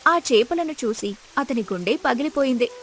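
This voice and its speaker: A woman's voice: high voice, expressive, normal speed, fairly bright tone. A woman narrator reading a scripted moral story.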